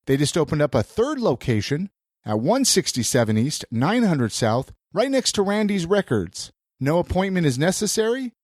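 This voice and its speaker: A man's voice: on the high side, sing-song, normal speed, darkish tone, dry recording, studio quality. An American man hosting a podcast in a casual, chatty style, slightly congested from a head cold. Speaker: podcast host. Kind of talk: casual podcast chat and sponsor reads.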